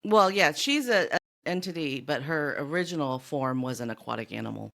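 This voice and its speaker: Low-voiced, expressive woman chatting. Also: a little fast, vocal fry. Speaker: podcast co-host. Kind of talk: casual book discussion.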